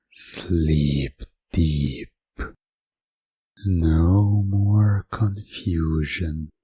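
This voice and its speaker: A man narrating a scripted hypnosis story in the second person, in a deliberate, soothing, commanding ASMR manner, close to the microphone. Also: low-pitched, warm tone, slightly rough, dry recording.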